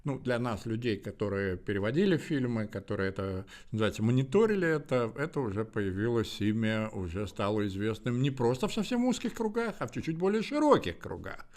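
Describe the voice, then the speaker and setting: A man with a medium-pitched voice hosts a casual interview. His intonation is very lively, swinging up and down as he talks. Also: brisk, hardly any pauses. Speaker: podcast host.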